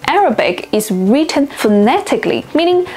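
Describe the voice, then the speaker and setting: A young woman, a native Chinese speaker, narrating a scripted language lesson and slowing down carefully on example words. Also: sits high, very animated, darkish tone. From China. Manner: clear, deliberate, slows down to pronounce example words. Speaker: language teacher and video host.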